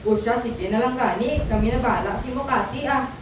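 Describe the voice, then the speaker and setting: A woman's voice: a little high, a little fast, natural voice. A young woman playing a bossy student who leads her friends, in an amateur school radio drama. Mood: brisk, eager. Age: teenager or young adult. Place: school audio-visual room. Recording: very echoey hall, distant mic, noise.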